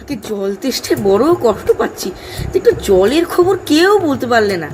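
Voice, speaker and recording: A man's voice: very high voice, very animated, fairly quick, a little mellow. An actor playing a thirsty, exasperated male traveller with a plaintive, pleading manner in a comedy audio drama. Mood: weary, pleading. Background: background music.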